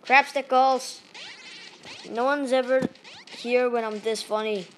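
A woman from Malaysia with a Malaysian accent, giving excitable let's-play commentary into a tablet microphone held up to her face, yelping and pleading at the game. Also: on the high side, takes it slowly, a little hiss or hum. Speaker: gaming channel host.

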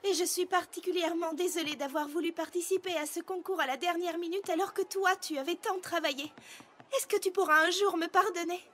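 A woman's voice: extremely high pitch, steady tone, a little fast, a bit bright, slight background noise. A woman voicing a refined, dramatic female pony in a French cartoon dub, with flowery, theatrical delivery. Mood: remorseful. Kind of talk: French-language cartoon dubbing. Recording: music behind the voice.

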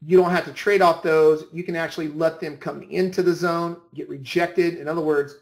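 A man's voice: a little high, steady tone, normal speed, vocal fry. A man giving an informal screen-share lesson as an instructor, often repeating and rephrasing his points. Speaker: trading room instructor. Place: indoor room, voice over screen share. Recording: roomy, echoing voice recording.

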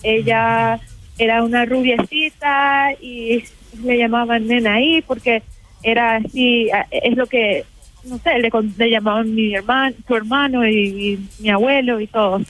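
A woman's voice: fairly high pitch, steady tone, a little slow, a bit bright, faint music behind, low-quality recording. Young woman talking hesitantly by phone. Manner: hesitant, mixing in English words. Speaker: café owner, phone guest. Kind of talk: casual phone interview. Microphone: phone line.

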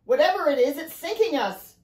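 A woman's voice: high-pitched, animated, a little fast, voice pushed up. Woman as an urgent commanding dad, raised voice. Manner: shouted orders. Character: commanding father, a marine biologist, urgent in danger. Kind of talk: dramatic storybook reading. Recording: roomy, echoing space.

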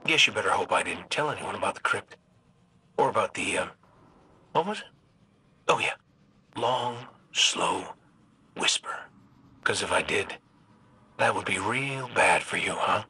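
An actor playing a cocky, wisecracking robot gunslinger in a video game, with an American accent and a wry, folksy, sardonic way of talking. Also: male voice, on the low side, lively intonation, measured pace, pauses often, bright, forceful tone, husky, full character voice. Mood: wry menace. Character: cocky wisecracking male robot gunslinger, roguish hunter leader. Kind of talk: video game voice acting, recorded farewell messages.

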